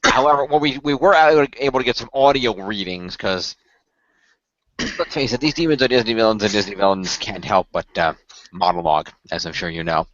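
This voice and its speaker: A man plays an earnest tech expert on a hero team in an amateur radio play. He explains plans in long, halting, technical sentences, on a dry, slightly muffled lo-fi recording. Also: lowish voice, fairly bright tone, no room sound, natural voice. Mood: concerned, explanatory. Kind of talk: amateur radio play, improvised-sounding dialogue. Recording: dull lo-fi voice recording.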